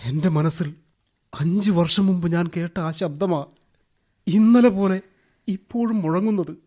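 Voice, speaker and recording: Casting: a Kerala ex-convict, wronged and hopeless, anguished and melodramatic, for radio drama. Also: male voice, high-pitched, dark tone. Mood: weary despair. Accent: Kerala Malayalam. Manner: anguished, halting, theatrical. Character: despairing, bitter wronged ex-convict turned burglar.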